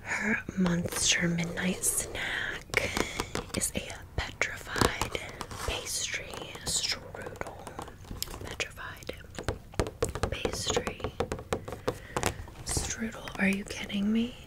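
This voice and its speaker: A woman's voice: medium pitch, fairly even intonation, extremely slow, long pauses. A woman hosting an ASMR video in a whisper, repeating words and phrases in a soothing way. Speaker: ASMR video creator and channel host.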